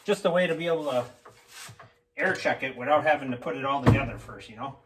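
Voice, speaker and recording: A man explaining homemade tools in a casual, unscripted how-to style, informal and halting as he thinks aloud. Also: medium pitch, background noise. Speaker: hobbyist mechanic presenting a homemade tool demonstration.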